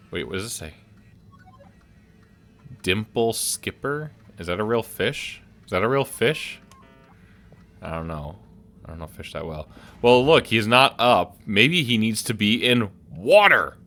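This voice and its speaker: Dude playing a game and chatting, bright lively voice, kinda low. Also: male voice, measured pace, long pauses, soft background music. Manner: chatty, musing aloud. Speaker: gaming video host. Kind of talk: casual let's play commentary.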